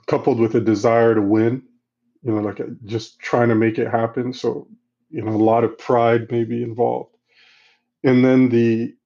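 A middle-aged man who grew up in Central Africa, answering interview questions in a halting, reflective way with many restarts. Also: mid-range voice, mellow. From Congo, Central Africa. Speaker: guest. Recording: slightly dull, lo-fi sound.

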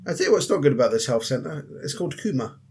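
A man's voice: a little high, very animated, fast, a little mellow, a little hiss or hum. A young Scottish man co-hosting a casual podcast, blunt and jokey, often laughing. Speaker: podcast co-host.

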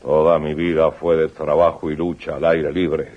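A man's voice: extremely low pitch, level tone, normal speed. A man playing a proud, weary aging exiled military leader in a radio drama, grave and declamatory. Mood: relieved, earnest. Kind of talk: 1980s radio drama acting. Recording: muffled old radio recording.

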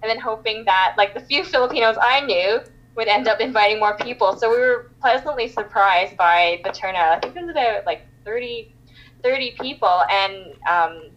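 A woman from Canada chatting as a podcast guest, full of "like" and "um" as she restarts her thoughts. Her voice sits at an ordinary middle pitch. The sound is dull and lo-fi, like remote call audio. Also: a bit bright. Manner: chatty, many fillers and restarts. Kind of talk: casual interview chat.

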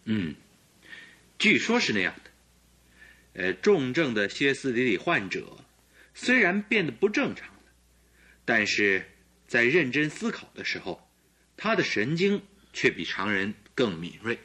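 A bright, husky, very animated detective's companion. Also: male voice, medium pitch, measured pace, long pauses, full character voice. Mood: earnest. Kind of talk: Chinese radio drama acting.

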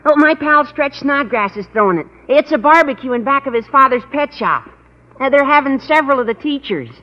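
High-voiced American schoolboy, gushing, earnest, vintage radio comedy, muffled, long reverb. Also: male voice, lively intonation, fairly quick. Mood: excited, scheming. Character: eager, squeaky-voiced teenage schoolboy. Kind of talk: 1940s-50s radio comedy acting. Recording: muffled, hissy, reverberant old radio recording.

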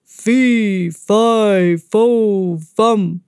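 A woman voicing a huge, wicked male giant from a storybook, with booming, roaring exclamations. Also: drawn-out words, darkish tone, clear, resonant tone, dry recording, clean studio sound. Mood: menacing thunder.

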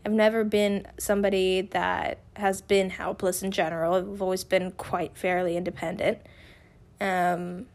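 Young woman w a slightly raspy voice, solo podcast, rambly and open, just talking. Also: fairly low pitch, little pitch movement, unhurried. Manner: candid, rambling, with frequent restarts. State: raspy from feeling unwell. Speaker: podcast host. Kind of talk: casual personal podcast monologue.

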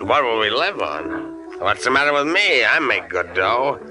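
An actor playing a boastful, tipsy, lovestruck American small-time crook in a 1940s radio drama, slangy and bragging. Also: male voice, mid-range voice, sing-song, unhurried. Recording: vintage radio recording with music behind.

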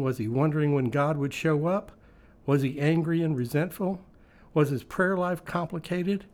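Devotional reader, male, pitched a little high. Reflective scripted delivery that poses questions to the listener. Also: a little mellow. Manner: reflective, posing rhetorical questions.

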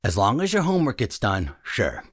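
A man's voice: fairly low pitch, huge pitch swings, fairly quick, slightly rough, dry recording, clean studio sound, full character voice. A man playing a cautious, concerned middle-aged father in an audio drama. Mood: worried.

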